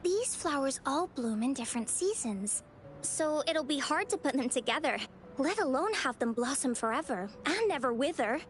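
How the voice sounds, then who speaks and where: A woman voicing a sweet, earnest young girl in video game story dialogue, gentle and thoughtful. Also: high voice, lively intonation, moderate pace, slight background noise.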